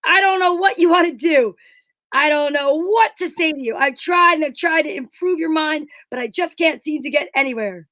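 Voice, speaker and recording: Woman as Abbott, high-voiced exasperated straight man. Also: normal speed, fairly bright tone. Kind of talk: 1940s radio comedy acting.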